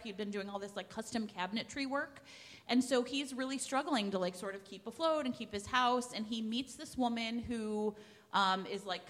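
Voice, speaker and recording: A woman with a fairly high voice chats casually about books on a live panel. She rambles excitedly and thinks aloud. The sound has the long echo of a big hall. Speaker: podcast co-host on a panel. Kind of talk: casual live book recommendations. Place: large room with a live audience, indoors. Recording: echoey hall with long reverb.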